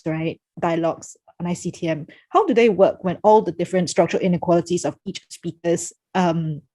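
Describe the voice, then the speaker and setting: Low-voiced Singaporean woman thinking aloud. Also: mellow. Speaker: panel moderator and academic. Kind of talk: reflective panel discussion. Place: indoors on a video call.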